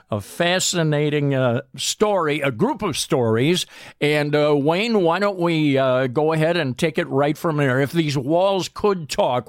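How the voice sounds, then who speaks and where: A man hosting a radio sports program, asking measured, easygoing questions. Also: fairly high pitch, no room sound, studio quality. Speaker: radio sports show host.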